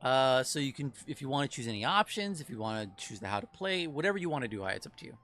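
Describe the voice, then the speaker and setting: A man's voice: medium pitch, big pitch swings, quick pace, a bit bright, soft background music. A man, a game developer guest on a livestream, chatting casually and rambling with frequent restarts and laughs. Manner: rambling, self-interrupting, laughs often. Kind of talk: casual stream chat.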